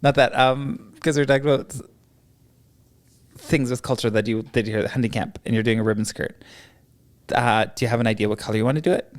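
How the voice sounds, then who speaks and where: A woman's voice: rumbling low, fairly even intonation, brisk. A woman hosting a casual podcast interview, teasing and joking with her guest and laughing often. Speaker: podcast host.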